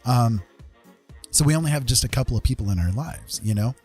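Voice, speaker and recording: A man talking casually and candidly as a livestream host, confiding in listeners in a halting, conversational way. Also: medium pitch, expressive, darkish tone, soft background music.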